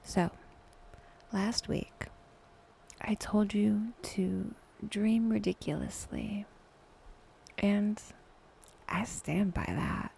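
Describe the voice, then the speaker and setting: A woman leads a guided meditation very slowly, her soft voice mellow and often slipping toward a whisper. There is a creaky fry in her tone. She leaves long pauses between short phrases, over faint ambient noise. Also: medium pitch, animated. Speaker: meditation guide, podcast host. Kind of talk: guided meditation, soft slow scripted narration.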